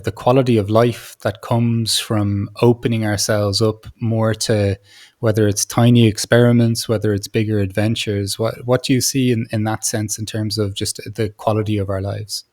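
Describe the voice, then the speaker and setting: A man hosting a reflective interview, speaking in a halting way and often rephrasing as he searches for words. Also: fairly low pitch, steady tone, a little mellow. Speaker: podcast host.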